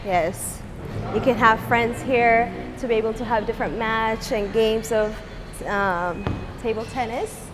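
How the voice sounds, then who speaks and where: A woman's voice: on the high side, unhurried, over music. Young woman chatting easily, many ums. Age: young adult. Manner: relaxed, many ums and soft laughs. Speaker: interviewee, English teacher. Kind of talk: casual interview and home tour. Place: apartment building, walking around.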